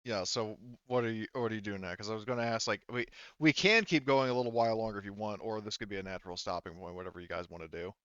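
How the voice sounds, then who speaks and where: A man's voice: on the low side, very fast, few pauses, fairly bright tone, smooth, no room sound. A man running a tabletop game as game master, narrating and chatting casually through slightly dull audio.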